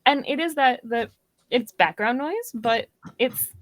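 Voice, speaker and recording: A woman's voice: on the high side, a little slow, no echo. A woman in a casual, conversational discussion as part of a podcast panel. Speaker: podcast guest or co-host.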